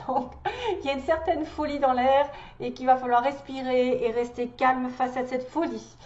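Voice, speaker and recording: Tarot reader, woman, slightly high voice, stuffy with a cold, chatty and hesitant; echoey room, dull recording. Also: little pitch movement, normal speed, a little mellow. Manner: chatty, hesitant fillers, laughs often. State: has a cold. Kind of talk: tarot reading guidance.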